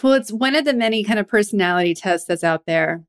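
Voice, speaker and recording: A woman's voice: medium pitch, expressive, fast talker. A woman hosting a podcast, talking in a curious, chatty way and asking follow-up questions. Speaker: podcast host. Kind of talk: casual interview chat.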